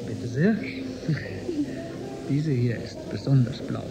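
A man's voice: mid-range voice, lively intonation, slow pace, creaky, over loud music. A man playing a courteous, idealistic historian with a flirtatious streak in a German radio drama, gallant and slightly pedantic. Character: courteous, flirtatious, idealistic male historian aboard a space station.

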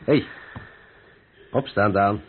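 An actor playing a seasoned truck driver in a Dutch radio drama, bossy and grumbling but good-natured, with a hearty, chatty manner. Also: male voice, lowish voice, extremely slow. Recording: narrow phone-like old radio recording, noisy.

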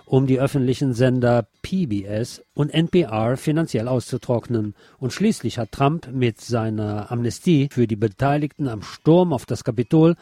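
A man's voice: medium pitch, normal speed, hardly any pauses, mellow, dry recording, clean studio sound. A man presenting on radio, reading a written report aloud.

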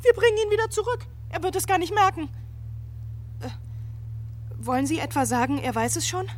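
A woman's voice: extremely high pitch, sing-song, fairly quick, darkish tone, a little hiss or hum. A woman acting in a German radio drama as an anxious, impatient woman who keeps questioning. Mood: anxious, insistent. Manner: urgent, questioning.

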